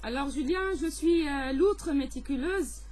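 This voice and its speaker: A woman's voice: sits high, a little slow, darkish tone. A woman talks hesitantly as a scout making amateur radio contact, often searching for words. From Lebanon. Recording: faint background noise.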